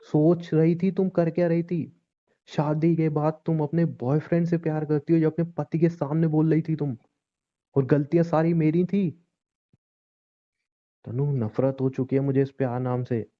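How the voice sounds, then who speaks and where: An actor playing a young, wounded, estranged husband in a relationship drama, speaking in a curt, confrontational way and repeating himself. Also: male voice, a little high, fairly even intonation, normal speed, lightly acted. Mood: wounded, resentful. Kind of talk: Hindi audio relationship drama, naturalistic dialogue. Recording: dull lo-fi recording.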